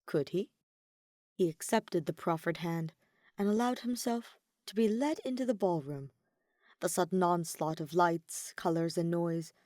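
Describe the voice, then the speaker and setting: Mellow, low-leaning woman narrating fiction. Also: dry recording, studio quality. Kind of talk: scripted story narration.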